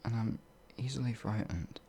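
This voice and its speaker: A man's voice: lowish voice, moderate pace, creaky, dark tone, a little hiss or hum. A man playing a timid, apologetic werewolf farmhand in an audio roleplay, hesitant and rambling, stammering as he goes. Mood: meek, fearful. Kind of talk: ASMR audio roleplay monologue.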